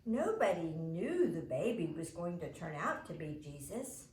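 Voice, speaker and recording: A woman reader acts out a storybook mother who explains things with patience and slight exasperation. Her delivery is slow, with expressive rises and falls. The room is audible around her. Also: low voice, echoey room, far from the mic. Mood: placating.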